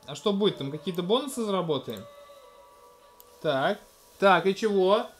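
Gentle man narrating a game to his toddler. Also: high-pitched, expressive, moderate pace, soft background music. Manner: gentle, coaxing, talks to the child, narrates what is on screen. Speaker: let's-play host playing with his toddler. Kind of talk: casual game commentary to a small child.

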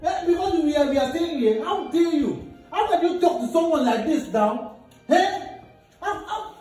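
A man's voice: extremely high pitch, lively intonation, moderate pace, a little mellow. A man playing a stern older brother who scolds his sister, then turns apologetic. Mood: scolding. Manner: scolding, mixes Nigerian Pidgin and English. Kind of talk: comedy skit acting. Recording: echoey room, distant mic, music.

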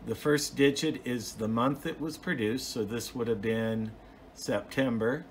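A man's voice: medium pitch, a little slow, a little hiss or hum. A man giving an informal, hands-on explanation as a hobbyist video host, plain-spoken and methodical, recorded on a phone at home. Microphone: phone, off camera.

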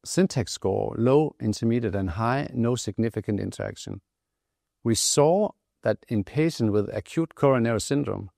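A middle-aged man with a Danish accent explains research findings as a physician in a measured, matter-of-fact interview manner. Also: mid-range voice, vocal fry, darkish tone, no echo, clean studio sound. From Denmark. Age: middle-aged, around 60. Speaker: physician and clinical trial investigator. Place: indoor interview set, close dry sound. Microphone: lapel microphone.

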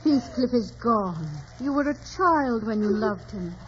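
A woman's voice: fairly high pitch, animated, unhurried. An actress playing a sensible, caring female confidante in old radio drama, earnest and admonishing. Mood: firm, consoling. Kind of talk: 1940s radio drama acting. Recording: dull old radio recording, faint noise.

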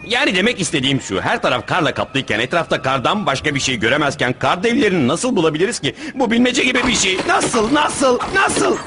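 Cartoon pirate captain, scheming and blustering, theatrical, very lively pitch swings. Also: male voice. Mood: despairing. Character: crazy pirate captain, boastful, scheming, easily exasperated man. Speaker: cartoon voice actor. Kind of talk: animated cartoon dub acting.

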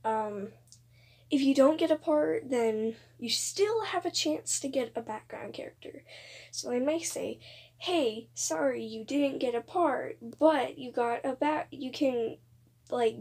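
A woman's voice: high voice, unhurried, vocal fry, a little mellow, slightly rough. A young woman making a casual, rambling announcement to viewers, hesitant and repeating herself, with occasional voice cracks. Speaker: video creator announcing voice auditions. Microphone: phone voice recorder app.

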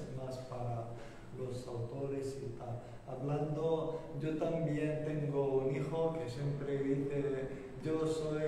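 A man speaking informally on a discussion panel, in halting phrases. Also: on the high side, dark tone. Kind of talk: informal round-table discussion. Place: museum lecture room, table microphones. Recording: very echoey hall, distant, faint noise.

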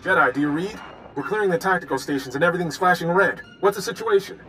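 An actor playing a brisk, practical male soldier in a video game cutscene. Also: sits high, fairly bright tone, faint music behind. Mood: urgent.

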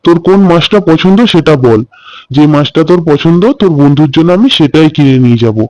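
A man's voice: fairly high pitch, lively intonation, fast, darkish tone, dry recording, dull audio, natural voice. A man playing a kindly, doting village father in a children's cartoon, chatty and affectionate. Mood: cheerful. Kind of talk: Bengali children's cartoon story dialogue.

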